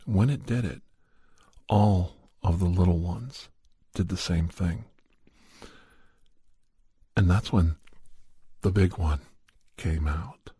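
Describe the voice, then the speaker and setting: A man with a mellow, husky voice tells an eerie first-person story in ASMR style. He speaks softly in short, unhurried phrases with casual asides. The sound is very dry and close. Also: deep voice, no room sound. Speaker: podcast host and storyteller.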